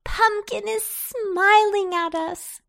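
A woman voicing an excitable, babyish little sister in a children's storybook, exclaiming in wails. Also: extremely high pitch, creaky, voice pushed up, full character voice. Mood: delighted. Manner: wailing, drawn-out exclamations.

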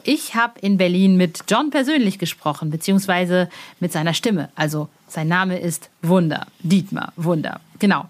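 A woman presenting a podcast in an informal, enthusiastic and chatty way. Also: medium pitch. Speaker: podcast host.

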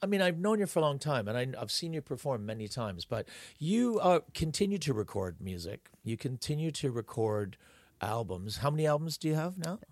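A man's voice: medium pitch, very animated, a little fast, a little mellow, no echo. A man co-hosting a podcast, telling stories in an enthusiastic, rambling way with many restarts. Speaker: podcast co-host. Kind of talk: casual podcast chat.

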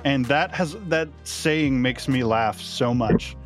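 Male podcast host, medium pitch, casual conversational delivery over music. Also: slow. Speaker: podcast host and audio drama creator. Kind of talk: casual behind-the-scenes chat.

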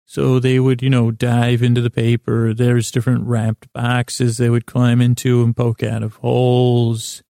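A man hosting a bedtime sleep podcast, delivering a meandering monologue in a halting, stammering way that often trails off. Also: mid-range voice, completely flat delivery, vocal fry, warm tone, no room sound, clean studio sound.

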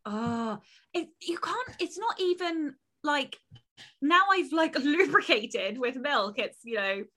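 A young adult woman co-hosting a casual podcast, animated and rambling, laughing often. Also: high-pitched, takes it slowly. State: voice lower than usual. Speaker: podcast co-host. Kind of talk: casual chat.

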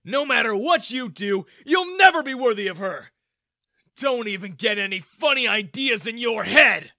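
A man voicing a sneering, hot-tempered middle-aged father who shouts and mocks in a storybook narration. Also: extremely high pitch, big pitch swings, normal speed, no echo, studio quality, voice pushed up, heavily acted. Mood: furious. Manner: shouting, mocking.

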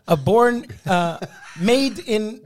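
High-voiced guy, italian is his first language, tells stories fast with lots of restarts. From Canada. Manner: animated anecdotes with laughs and restarts. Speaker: podcast guest, comedian. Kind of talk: casual storytelling interview.

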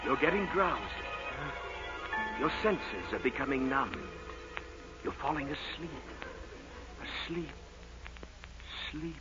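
An actor playing a solemn, commanding psychiatrist hypnotist in a 1940s radio comedy, intoning his words slowly and theatrically. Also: on the high side, expressive. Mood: solemn, hypnotic. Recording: dull old radio recording with music.

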